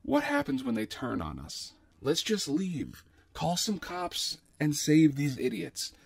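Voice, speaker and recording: A man's voice: a little high, big pitch swings, slow pace, creaky, dry recording, voice pushed up, heavily acted. A man voicing an exasperated, reluctant space adventurer who grumbles his doubts in a storytelling podcast. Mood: worried, skeptical.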